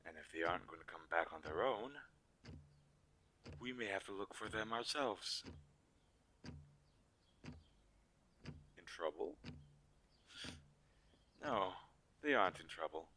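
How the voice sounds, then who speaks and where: A man playing a weary, protective magical inventor in an audio drama, speaking earnestly with halting pauses. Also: animated, sharp tone. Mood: gentle, resolute. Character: weary, protective male inventor-mage, creator of living dolls. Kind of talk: scripted audio roleplay drama. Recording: low quality, audible background hiss.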